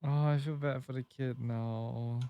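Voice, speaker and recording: A man's voice: mid-range voice, fairly even intonation, unhurried, clear, resonant tone, no room sound, highs cut off. A man reacting casually to a story game as he streams it, in slangy exclamations on a close mic.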